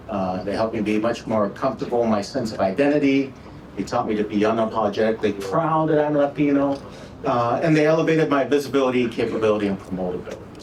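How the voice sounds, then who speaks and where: A middle-aged man with a Minnesota accent gives an informal talk at an awards event, pitched in a medium register. He speaks in a friendly, halting way, leaning on "uh" and "right?". His voice is picked up from a distance in a reverberant room with faint noise behind him. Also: darkish tone. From Texas, raised in Minnesota. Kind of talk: casual speech to an audience. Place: event hall. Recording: echoey room, voice from far off.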